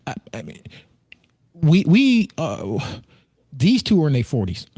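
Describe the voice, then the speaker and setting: A man's voice: on the high side, huge pitch swings, unhurried, mellow, husky, dry recording, studio quality. An American man in his thirties chatting casually as a co-host, joking, repeating himself and swearing freely. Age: 30s. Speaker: podcast co-host and streamer. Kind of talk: casual group chat.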